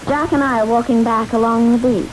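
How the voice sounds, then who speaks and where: Actress as a higher-voiced, resolute bride. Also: female voice, fairly even intonation, a little fast, noisy background, natural voice. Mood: composed. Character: steady, resolute young bride in a ghost story. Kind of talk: old-time radio drama acting.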